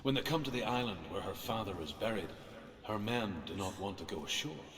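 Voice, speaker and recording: Grave old norse storyteller, really low voice, solemn and measured, huge reverby echo, video game. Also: male voice, expressive, fairly bright tone. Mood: solemn storytelling. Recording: cavernous echo with long reverb.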